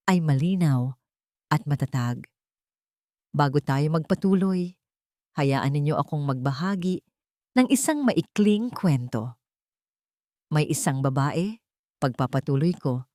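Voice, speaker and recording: A woman narrating a dramatic first-person audiobook story in a measured, storytelling way. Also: deep voice, dry recording, clean studio sound.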